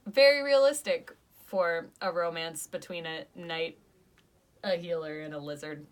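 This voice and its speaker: Young female podcast co-host, lowish voice, casual and rambly. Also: a little slow. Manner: chatty, lots of "like" fillers, animated when ranting. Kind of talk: casual podcast review chat.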